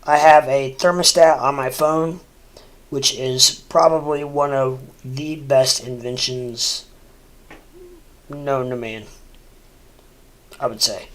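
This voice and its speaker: A man rambling through a casual livestream, stuffy and congested, halting with lots of fillers and restarts. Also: mid-range voice, steady tone, fairly bright tone. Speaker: crypto livestreamer. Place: home room, seated at a desk.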